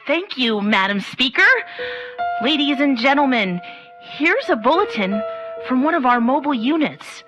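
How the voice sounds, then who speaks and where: An actress playing a formal, composed radio news anchor in a comedic radio drama, with a slightly dull recording. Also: female voice, high voice, lively intonation, measured pace, music in the background.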